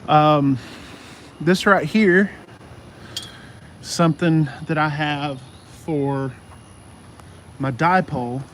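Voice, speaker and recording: Higher-voiced man talking outdoors, noisy background. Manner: halting, repeats himself and circles back to points. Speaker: radio hobbyist video host. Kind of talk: casual, unscripted explainer vlog. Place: outdoors in a yard. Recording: outdoor noise with insects and traffic.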